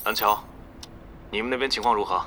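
A man's voice: on the low side, a little fast, music in the background, close to an everyday voice. An actor playing a cool, calculating male guild leader in an audio drama. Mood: inquiring.

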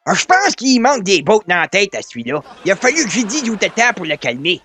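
An actor playing a folksy old grandpa in a French radio comedy, with a raspy voice and a colloquial Quebec French accent full of exclamations. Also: male voice, sits high, huge pitch swings, a little fast, bright, forceful tone, soft background music, heavily acted. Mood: friendly, chatty. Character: folksy excitable old grandpa, war veteran.

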